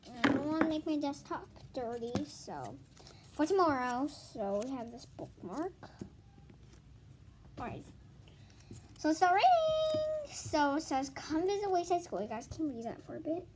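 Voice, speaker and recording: High-pitched, childlike female voice, lively and informal. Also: unhurried, long pauses. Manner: informal, muttering asides to self. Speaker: host reading a children's book aloud. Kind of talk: casual home vlog chat and amateur read-aloud. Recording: noisy home recording with clicks.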